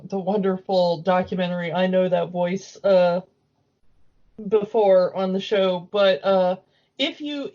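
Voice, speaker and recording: A woman's voice: medium pitch, darkish tone. A woman co-hosting a podcast, chatting casually as a fan. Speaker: podcast co-host. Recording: dull lo-fi voice recording.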